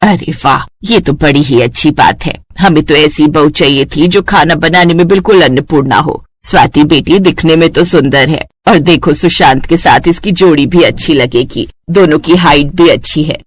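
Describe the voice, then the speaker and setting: A woman's voice: low-pitched, moderate pace, few pauses, pitched below the performer's own voice. Woman doing a bossy middle-aged cartoon mother-in-law. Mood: approving, directive.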